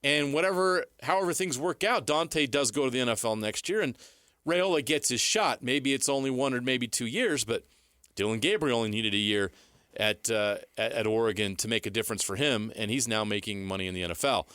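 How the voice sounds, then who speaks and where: An American man delivers sports commentary as a talk show co-host. He is opinionated and blunt, speaking informally at a middling pitch in a close, dry-sounding space. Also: a little fast, a bit bright, clear, resonant tone, no room sound.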